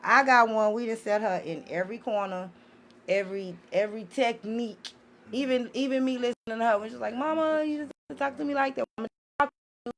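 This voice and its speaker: A woman's voice: a little high, fairly quick, slight background noise. A talkative woman in a casual, candid group discussion, emphatic and slangy, with frequent swearing. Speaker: talk show panelist and parent.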